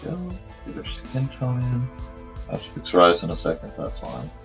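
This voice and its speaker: A man with a slightly croaky, strained voice chatting casually and goofily, half to himself, as he hosts a drawing stream. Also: medium pitch, sing-song, moderate pace, soft background music. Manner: goofy, rambling, half talking to himself. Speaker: cartoonist hosting a live art show. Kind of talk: casual chat while drawing.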